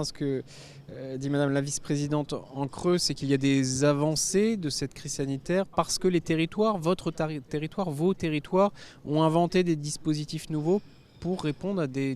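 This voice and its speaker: A man with a fairly high voice interviews guests as a panel host. He forms his questions haltingly, repeating phrases. Ambient noise is clearly audible behind him. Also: darkish tone. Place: TV set at a convention.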